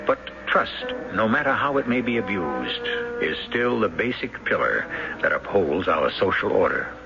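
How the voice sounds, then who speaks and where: A man hosting and narrating a radio drama in a deliberate, theatrical, rhetorical style. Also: on the low side, big pitch swings, creaky. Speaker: host and narrator. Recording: dull old radio broadcast. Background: music.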